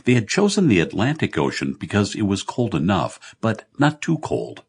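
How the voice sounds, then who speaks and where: A man narrating comic fantasy fiction as an audiobook, telling the story in a measured way. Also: fairly low pitch, wildly swooping pitch, husky.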